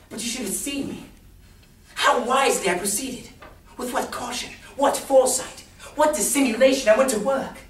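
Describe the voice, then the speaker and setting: A man playing a nervous, gleeful madman confessing to murder in a theatrical stage recitation, swinging between hushed and manic delivery. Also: sits high, pitched above the performer's own voice, full character voice. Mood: manic, excited. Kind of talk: dramatic solo stage recitation of a gothic story. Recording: roomy, distant stage recording with noise.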